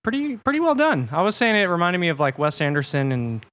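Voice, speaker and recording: A man's voice: sits high, sing-song, fast, fairly bright tone, no room sound. A man co-hosting a casual podcast, joking and asking playful questions. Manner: joking, laughs easily. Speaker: podcast co-host. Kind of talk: casual chat.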